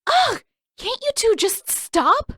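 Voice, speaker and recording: A woman voicing an exasperated, sharp-tongued teenage girl in a storybook, snapping and sarcastic. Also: very high voice, big pitch swings, slow, dry recording, pitched above the performer's own voice, full character voice.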